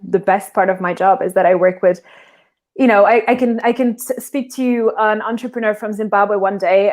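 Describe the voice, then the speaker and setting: A woman from Portugal speaks as an interview guest over a remote call, with a medium, everyday pitch. She explains her work in a friendly, casual way and often restarts her phrases. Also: a little mellow.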